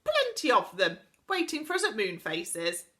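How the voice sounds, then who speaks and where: Woman doing a loud deaf clanking little man. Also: mid-range voice, wildly swooping pitch, measured pace. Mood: loud cheerful. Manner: loud, mishearing, earnest.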